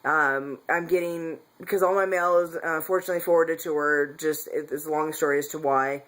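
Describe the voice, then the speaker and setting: A man's voice: a little high, fairly even intonation, a little fast, a bit bright, low-quality recording. A man talking candidly in a casual personal vlog, rambling with frequent restarts and self-corrections. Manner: candid, confessional. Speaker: vlogger.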